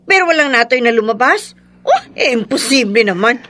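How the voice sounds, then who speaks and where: A woman's voice: on the high side, sing-song, brisk, a bit bright. A woman playing an anxious, questioning village wife in a radio drama, speaking in an emphatic, exclamatory way. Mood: incredulous, puzzled.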